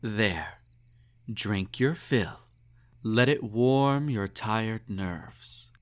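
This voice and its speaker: A man's voice: fairly low pitch, expressive, extremely slow. A man playing a snobbish, possessive emperor in an intimate roleplay audio drama, with pompous, condescending, teasing speech and ornate formal phrasing. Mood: doting, controlling. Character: snobbish, possessive male emperor, indulgent and slightly tipsy.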